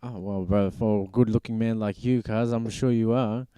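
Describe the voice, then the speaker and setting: A man's voice: on the low side, steady tone, normal speed, dark tone, smooth, dry recording. A man hosting a podcast in a casual way, chipping in with short agreeing interjections. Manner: laid-back, lots of short agreeing interjections. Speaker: podcast host.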